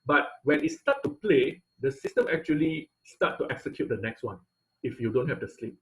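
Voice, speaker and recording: A man's voice: mid-range voice, wildly swooping pitch, moderate pace, gravelly, rough recording. A man teaching an online robotics class, explaining code step by step with frequent fillers and "okay?" checks. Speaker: robotics instructor. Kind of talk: online class lecture with screen share.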